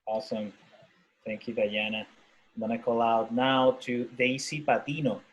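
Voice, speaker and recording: A man's voice: medium pitch, flat delivery, normal speed, muffled recording. A man moderating an online talk, thanking each speaker and calling on the next one. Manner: brief and upbeat, calls on participants one by one. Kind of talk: webinar moderating. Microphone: video call.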